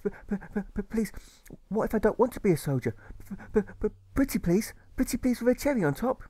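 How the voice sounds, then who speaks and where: A man's voice: sits high, animated, brisk, dark tone, pitched above the performer's own voice. A man voicing a timid boy in an audiobook, stammering, repeating words and pleading. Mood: pleading, anxious. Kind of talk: audiobook character voice.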